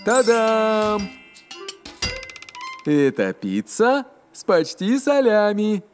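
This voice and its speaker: Casting: excitable little mustached cartoon cook, childlike and exclamatory, high voice, unhurried pace. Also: male voice, faint music behind, heavily acted. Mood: proud, excited.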